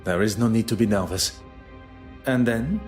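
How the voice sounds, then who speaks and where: An actor playing a formal, courteous foreign detective with a French-speaking Belgian accent in a video game, precise and probing. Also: male voice, fairly low pitch, moderate pace, soft background music, close to an everyday voice. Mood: gently probing. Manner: formal, precise, polite phrasing.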